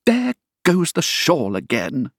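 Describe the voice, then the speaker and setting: An eccentric old queen from a storybook, acted out by a male reader, flustered and boastful. The voice swoops wildly and takes its time, with a slightly husky grain and a close, dry sound. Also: a little high, wildly swooping pitch, slow pace, no echo, pitched above the performer's own voice, heavily acted. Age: older. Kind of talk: storybook character voice.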